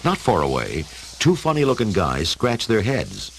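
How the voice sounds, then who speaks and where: A man's voice: lowish voice, wildly swooping pitch, moderate pace, a little hiss or hum. A man giving theatrical scripted narration for a radio adventure story. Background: music.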